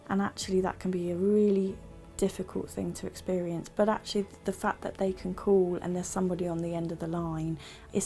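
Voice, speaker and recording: Very creaky woman with even, mellow delivery. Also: lowish voice. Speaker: helpline support worker. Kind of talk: interview-style account of work for a promotional film. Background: background music.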